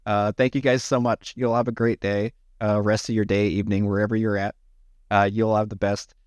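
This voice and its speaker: A man chatting casually to his livestream viewers, rambling with many restarts and sounding slightly hoarse after hours of talking. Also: fairly low pitch, level tone, a little fast, darkish tone, no echo. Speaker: livestreamer and AI art tutorial maker.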